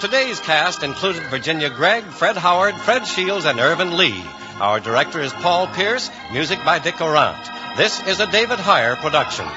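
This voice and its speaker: A man's voice: on the high side, very animated, normal speed, few pauses. A man with an American accent announcing in the polished style of a 1950s radio show. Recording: dull old radio recording. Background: organ music.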